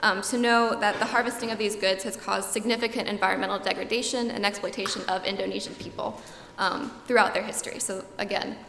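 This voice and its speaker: A young woman, a student giving a slide presentation and filling her pauses with frequent ums. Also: medium pitch, steady tone, gravelly, a bit bright. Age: young adult. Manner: frequent ums, earnest and informative. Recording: echoey hall, long reverb, faint room noise.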